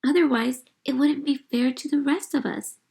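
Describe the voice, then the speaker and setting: A woman's voice: sits high, normal speed, gravelly, warm tone, pitched above the performer's own voice. A woman voicing an eager, earnest little kindergarten girl who tells her storybook tale expressively. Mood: politely firm.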